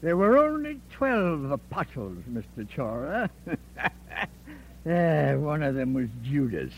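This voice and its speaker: A man's voice: a little high, sing-song, creaky, full character voice. An actor playing a smug, corrupt man of power in an old radio drama, insinuating and menacing, often ending on a questioning "eh?". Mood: sly gloating. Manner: slow, insinuating, punctuates with "eh?". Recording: muffled, noisy old radio recording with hum.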